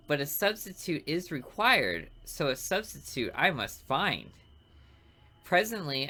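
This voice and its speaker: A man voicing a smug, artistic serial killer who narrates a visual novel with deliberate, theatrical, morbid relish. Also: fairly high pitch, sharp tone, exaggerated voice.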